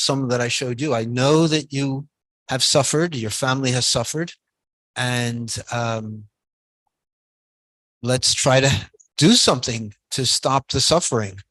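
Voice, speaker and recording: A man giving a guest lecture, answering audience questions in a halting, soft-spoken way with many pauses and restarts. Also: mid-range voice, dry recording, low-quality recording.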